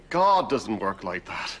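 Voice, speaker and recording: A man's voice: fairly low pitch, big pitch swings, very slow, full character voice. A man in his thirties playing a mild, earnest priest in a television sitcom. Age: 30s.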